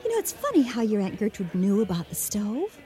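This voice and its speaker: An actress playing an earnest, worried American wife in a 1980 radio drama, speaking in a questioning, conversational way. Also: female voice, medium pitch, huge pitch swings, fairly quick, over music. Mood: suspicious, earnest.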